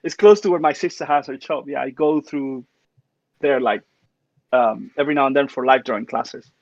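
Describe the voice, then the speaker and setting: A man's voice: medium pitch, normal speed, vocal fry, dry recording. Chatty man from Cuba, dull dry sound. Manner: chatty, laughs often, trails off. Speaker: host. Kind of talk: casual chat. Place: home studio, livestream.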